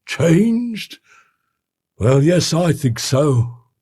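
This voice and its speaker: Distraught butler played by a male reader: husky, pitched up, urgent and deferential, classic storybook drama. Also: drawn-out words, dark tone, exaggerated voice. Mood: agitated, insistent. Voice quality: harsh, broken voice. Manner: emphatic, deferential, strained. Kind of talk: dramatic audiobook character reading.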